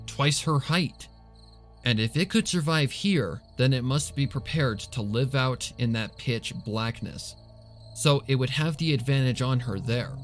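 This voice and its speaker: A man reading a story aloud as a narrator, in an expressive, suspenseful, dramatic style. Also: medium pitch. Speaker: fan fiction narrator.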